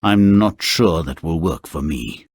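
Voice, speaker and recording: A mournful, menacing beast from a fairy-tale video game. The voice is extremely deep and slow, with solemn, formal phrasing. It is theatrical and recorded very dry. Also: male voice, expressive, husky, no room sound, exaggerated voice. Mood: pleading. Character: mournful, menacing male monster, lovesick man-eating beast.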